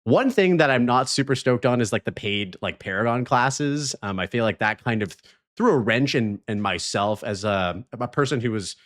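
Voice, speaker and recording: A man's voice: mid-range voice, animated, moderate pace, a bit bright. A man hosting a podcast, talking in a loose, laid-back way. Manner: laid-back, loose, many fillers like "sort of". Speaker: podcast host. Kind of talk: casual podcast conversation.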